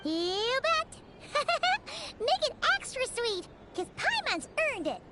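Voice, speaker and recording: Squeaky, excitable little fairy girl. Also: slow, over music, heavily acted. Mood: cheerful. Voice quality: squeaky, childlike. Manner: chirpy, speaks of herself in third person. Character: Paimon, small excitable childlike floating fairy companion girl. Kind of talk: video game character dialogue.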